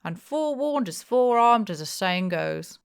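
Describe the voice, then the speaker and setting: A woman voicing a blustering, jovial, opinionated male innkeeper in an audiobook, with loud, emphatic, rustic speech. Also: mid-range voice, sing-song, unhurried, gravelly, smooth, pitched above the performer's own voice. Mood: boastful, amused.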